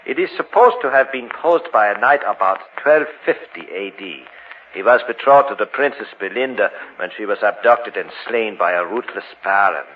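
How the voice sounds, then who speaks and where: An actor as an ominous art dealer, dramatically swooping voice. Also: male voice, lowish voice, expressive, moderate pace, lightly acted. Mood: ominous, solemn. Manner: theatrical, formal, portentous. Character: reluctant, ominous male art dealer, fearful and solemn. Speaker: actor in a radio horror play. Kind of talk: old-time radio drama acting. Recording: muffled old radio recording with hiss.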